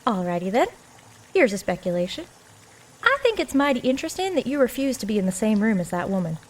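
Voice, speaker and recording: Cheeky, folksy maid, higher-pitched. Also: female voice, sing-song, fast talker, a little hiss or hum. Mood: gossipy, teasing. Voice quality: folksy rural American diction. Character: nosy, cheeky working-class maid, folksy and irreverent. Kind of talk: audio drama acting.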